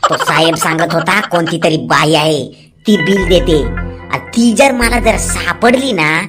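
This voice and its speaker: A woman's voice: lowish voice, measured pace, natural voice. A woman voicing a feisty, naive, bossy village auntie in a cartoon comedy, combative. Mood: boastful, threatening. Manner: combative, confused, boastful. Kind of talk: Marathi comedy cartoon dialogue. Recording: music behind the voice. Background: music.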